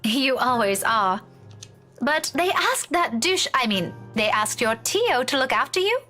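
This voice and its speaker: Bright high-voiced caring schoolteacher. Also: female voice, sing-song, a little slow, faint music behind, full character voice. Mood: concerned, questioning. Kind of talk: visual novel game voice acting.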